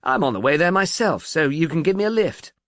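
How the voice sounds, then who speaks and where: A man voicing an irritable, witty professor of English in an audiobook, brisk and dryly emphatic. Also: on the high side, very animated, fairly bright tone, no echo, studio quality, voice pushed up. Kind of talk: audiobook character dialogue.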